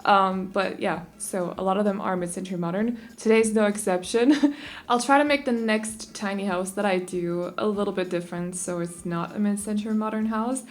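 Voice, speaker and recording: A woman's voice: medium pitch, normal speed. A young Danish woman chatting casually and rambling a little as she talks over a game speed build, often restarting her sentences. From Denmark. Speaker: YouTube creator.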